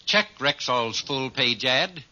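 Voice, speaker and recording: A man's voice: mid-range voice, expressive, slow, pushed and bright. A man announcing a commercial in a polished 1940s radio style. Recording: dull lo-fi old radio recording.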